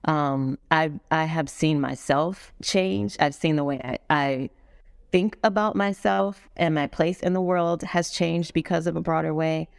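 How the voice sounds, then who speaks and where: A woman's voice: low voice, unhurried, gravelly, smooth, no echo, studio quality. A middle-aged woman guest speaking earnestly and thoughtfully in a casual interview. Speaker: guest, executive director of a foundation.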